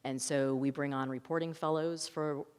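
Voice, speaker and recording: Low-voiced woman in a reverberant hall. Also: fairly even intonation, normal speed, darkish tone, smooth. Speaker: film director answering audience questions. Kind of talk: festival Q&A, conversational storytelling. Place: large reverberant hall at a public event. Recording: echoey hall with long reverb.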